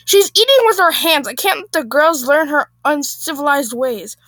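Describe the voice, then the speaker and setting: A woman voicing an outraged, entitled woman in a story, shrill and indignant, shouting at other diners. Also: expressive, unhurried, no echo, heavily acted.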